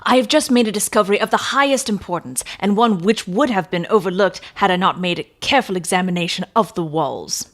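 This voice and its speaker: A woman's voice: mid-range voice, a little fast, hardly any pauses, a bit bright, close to an everyday voice. A woman playing a pompous, self-satisfied little police detective, boastful and emphatic, in a dramatic Victorian detective story reading.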